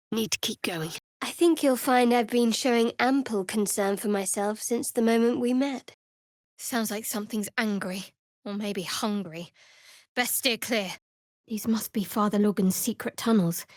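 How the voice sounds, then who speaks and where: A woman's voice: fairly high pitch, no room sound, clean studio sound, lightly acted. A woman playing a guarded, sardonic young female cleric in a fantasy video game, speaking in a cool, wry, clipped manner. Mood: guarded, low-key.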